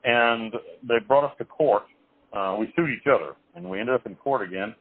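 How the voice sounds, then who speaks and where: A man being interviewed over the phone, telling his life story in a rambling, halting way with many restarts and some blunt, crude wording. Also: medium pitch. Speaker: interview guest. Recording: tinny phone line.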